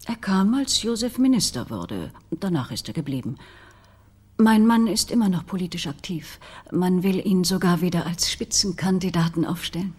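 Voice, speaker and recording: Casting: cool, reserved wife of a politician, middle-aged, slightly breathy husky voice, controlled formal delivery. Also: female voice, lowish voice, animated, normal speed, darkish tone. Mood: composed. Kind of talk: radio crime drama dialogue.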